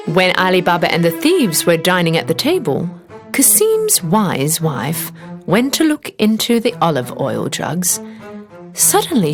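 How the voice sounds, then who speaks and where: Female narrator, children's fairy tale, highly animated intonation, expressive storybook delivery, music underneath.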